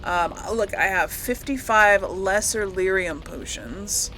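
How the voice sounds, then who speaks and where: A woman's voice: mid-range voice, slow, bright, forceful tone, a little hiss or hum, rough recording. A woman giving casual, rambling commentary as she plays a game, often correcting herself and lightly amused. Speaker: gaming host.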